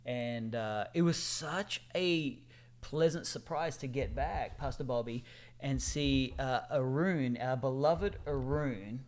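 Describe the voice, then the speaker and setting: A man in his forties, a pastor co-hosting a podcast, chatting in a loose, animated way with frequent fillers and laughs. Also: mid-range voice, slow. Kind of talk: casual chat.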